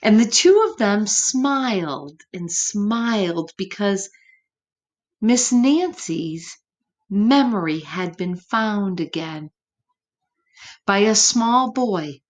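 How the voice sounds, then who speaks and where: Woman storyteller for little kids, unhurried and highly animated, gently expressive, speaking straight to the children. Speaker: children's story reader, teacher. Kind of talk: read-aloud storytime for young children, with chatty asides. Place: room at home.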